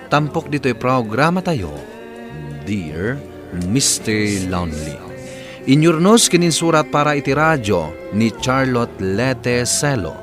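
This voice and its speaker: A man with an Ilocano accent hosting a radio drama program, giving sincere scripted counsel to a listener. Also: mid-range voice. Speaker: radio drama host and advice giver. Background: music.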